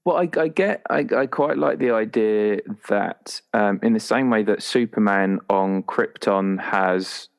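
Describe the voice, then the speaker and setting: A man's voice: fairly low pitch, a little slow, creaky, hardly any pauses. A man chatting casually as a roleplayer talking through his game character. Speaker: podcast guest, tabletop roleplayer.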